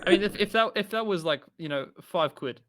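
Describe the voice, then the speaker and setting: A man's voice: high voice, expressive, a little fast, bright, forceful tone, slight background noise. A man co-hosting a casual stream, bantering with stammers and laughter. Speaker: co-host. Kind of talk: casual reaction chat.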